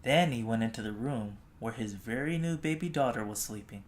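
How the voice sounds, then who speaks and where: A storybook reader telling a children's tale expressively, with gentle, drawn-out phrasing. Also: medium pitch, slight background noise.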